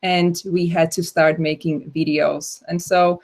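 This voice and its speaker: A woman with a fairly low voice presents an online workshop for teachers. She talks in a chatty way, laughs easily and often restarts her sentences. She sounds like she is on a computer mic during a video call. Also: level tone, a little slow, darkish tone. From Slovakia. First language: Slovak. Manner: chatty, laughing, self-correcting. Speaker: English instructor and student engagement coordinator.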